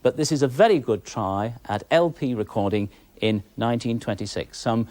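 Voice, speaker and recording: A man's voice: mid-range voice, moderate pace, darkish tone. A British man presenting a history programme in a genteel, wry, informally expert way. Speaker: television presenter.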